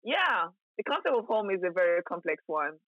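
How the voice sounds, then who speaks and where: A woman's voice: lowish voice, sing-song, fairly quick, no echo, lo-fi sound. Chatty young woman from Nigeria. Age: 20s. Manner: chatty, storytelling, many fillers. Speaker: podcast guest, scientist. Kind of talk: casual conversation.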